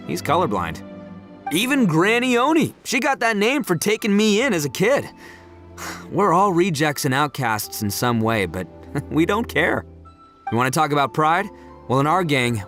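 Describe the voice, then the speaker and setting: A man's voice: a little high, very animated, normal speed, fairly bright tone. A man voicing a brash, big-hearted young oni gang leader in a video game, in a boisterous, slangy, easygoing manner. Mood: jovial, proud. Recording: music under the voice.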